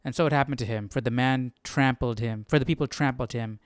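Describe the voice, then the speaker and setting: Clear-voiced man, casual and dry-sounding. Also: mid-range voice, fairly quick, dry recording. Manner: informal, thinking out loud, paraphrasing in slang. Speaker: host of a live Bible study stream. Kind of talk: casual read-aloud and commentary.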